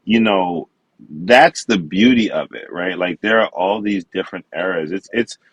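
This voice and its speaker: Deep-voiced man, relaxed interview talk, dry close sound. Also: moderate pace, husky, no echo, low-quality recording. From the Bronx, New York. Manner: relaxed, conversational, slang-flavored. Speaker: podcast guest, wine expert.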